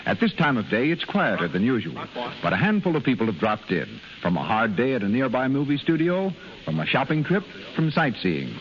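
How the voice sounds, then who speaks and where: A man's voice: mid-range voice, wildly swooping pitch, a little fast. A male announcer reading a scripted 1930s radio advertisement. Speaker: commercial announcer. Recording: muffled, hissy 1930s radio broadcast recording.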